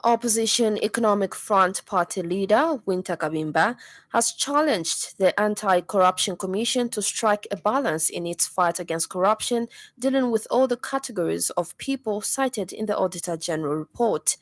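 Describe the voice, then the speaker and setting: A woman with a Zambian accent reading a scripted radio news bulletin in a formal, measured way. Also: mid-range voice, no echo. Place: radio studio.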